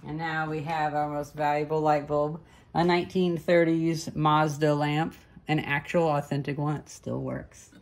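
A woman's voice: low voice, level tone, a little slow. A young adult woman chatting casually and off the cuff, musing aloud as she demonstrates things. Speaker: hobbyist vlogger demonstrating old light bulbs. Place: living room at home, indoors. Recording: clear background noise, home camera recording.

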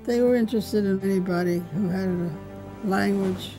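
A woman's voice: mid-range voice, moderate pace, dark tone, soft background music, low-quality recording. An older woman telling her wartime memories in a plainspoken, blunt way during a sit-down interview at home. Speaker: interviewee, veteran. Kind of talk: documentary interview recollection. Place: living room with drapes.

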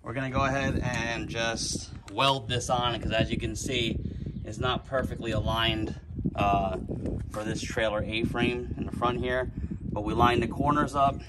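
A man hosting a casual how-to video, narrating a trailer upgrade outdoors in a relaxed, plain-spoken way while holding the camera. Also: medium pitch, measured pace, very noisy. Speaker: DIY channel host. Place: outdoors on a concrete driveway. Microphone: camera mic, one hand on camera.

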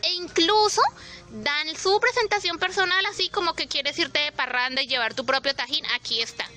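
Brief: young woman, chatty handheld vlog, high and very bright voice. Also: moderate pace, faint music behind. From Colombia. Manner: chatty, expressive, addresses viewers directly. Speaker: vlogger. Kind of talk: casual walk-through vlog. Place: supermarket aisles, indoors.

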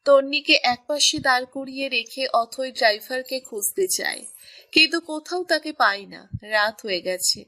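A woman's voice: high-pitched, normal speed, bright, forceful tone. A woman narrating a scripted romantic story.

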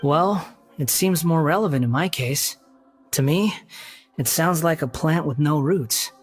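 A man's voice: a little high, soft background music, natural voice. Man playing a composed, guarded young wanderer. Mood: polite. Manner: measured, formal, reserved. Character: young male wanderer with lost past, polite, composed, guarded. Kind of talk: video game voice acting.

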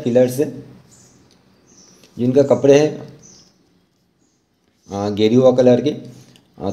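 Mellow-voiced man giving art instruction. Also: mid-range voice. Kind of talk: informal step-by-step tutorial narration.

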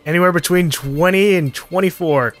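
Laid-back, teasing male host, slightly high voice, faint music behind. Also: moderate pace, a bit bright. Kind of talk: casual gaming chat.

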